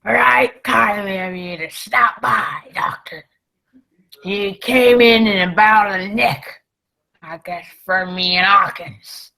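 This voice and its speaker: A woman's voice: mid-range voice, takes it slowly, gravelly, bright, forceful tone, full character voice. A woman playing a sly old male pirate leader in a radio play, mock-courteous and folksy. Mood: wry, resigned.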